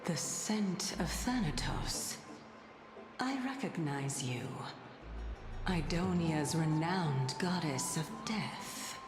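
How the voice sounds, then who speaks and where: Enigmatic female game sage, lowish voice, languid pace, playful, coy, unflappable, music behind. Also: animated, slow. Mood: knowing. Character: mysterious, teasing, unflappable woman sage, amused by threats. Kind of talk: video game character acting. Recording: echoey long reverb under clear music.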